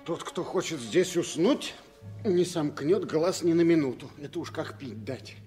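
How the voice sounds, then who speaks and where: A man's voice: on the high side, expressive, a little slow, darkish tone, soft background music. A man playing a good-humoured, protective vagabond with a folksy, mock-grand manner in a 1960s radio play. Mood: gruff joking.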